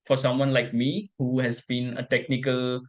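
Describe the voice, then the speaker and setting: A young man hosts a relaxed interview, winding through his questions with restarts and "you know" fillers. His voice sits at an everyday middle pitch for a man. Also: normal speed. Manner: rambling, loose grammar, frequent restarts and fillers. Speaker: interview host. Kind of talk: casual interview.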